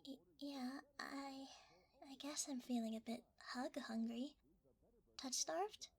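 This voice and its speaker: A woman's voice: high-pitched, slow pace. A woman voicing a shy, cuddly shapeshifting creature in an ASMR roleplay, hesitant and easily flustered, often half-whispering.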